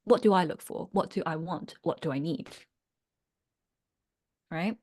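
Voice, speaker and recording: A woman's voice: lowish voice, unhurried, a little mellow, slightly rough. A woman coaching business owners in a reflective, earnest online workshop, often checking in with her listeners. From United Kingdom.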